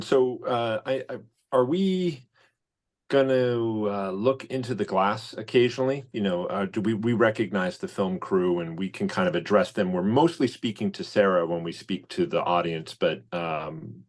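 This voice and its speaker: Lowish-voiced man chatting casually. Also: moderate pace. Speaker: actor at a table read. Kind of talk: casual chat, asking questions.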